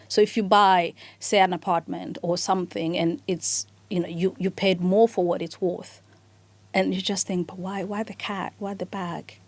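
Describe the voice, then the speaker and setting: A woman co-hosts a relaxed podcast chat, thinking aloud and restarting her sentences. Her voice sits on the low side. The sound is close and dry. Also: no room sound. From Rwanda. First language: Kinyarwanda. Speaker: co-host.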